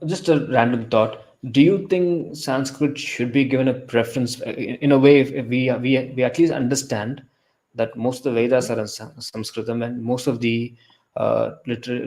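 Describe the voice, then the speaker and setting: A man's voice: mid-range voice, steady tone, darkish tone. A young man from India with an Indian English accent, chatting casually as co-host and often restarting his sentences. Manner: halting, frequent restarts and fillers. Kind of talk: casual podcast discussion.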